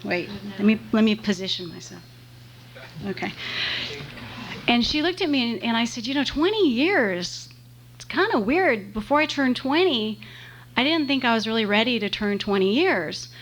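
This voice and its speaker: A woman in her forties from the San Fernando Valley, speaking at a meeting podium in a chatty, self-deprecating way with halting restarts. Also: on the high side, animated. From Los Angeles, San Fernando Valley. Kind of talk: personal talk at a meeting. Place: meeting room. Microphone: podium microphone. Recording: faint hiss and hum, live room tape.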